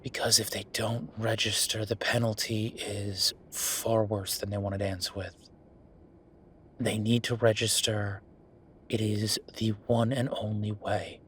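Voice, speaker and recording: Low-voiced, weary werewolf boyfriend played by a man. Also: level tone, measured pace, fairly bright tone. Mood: frustrated, resigned. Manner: conversational, sighing, world-weary. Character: weary, frustrated male werewolf official, affectionate boyfriend. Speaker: voice actor in audio roleplay. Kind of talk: intimate boyfriend roleplay audio acting. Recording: clear background noise.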